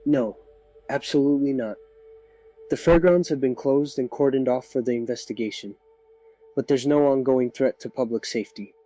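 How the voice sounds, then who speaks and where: A man plays a small-town sheriff with a soft, mellow voice. He speaks in a measured, official way, reassuring the public in an audio drama news interview, with music playing behind him. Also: mid-range voice, close to an everyday voice, poor audio. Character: composed small-town male sheriff giving an official statement.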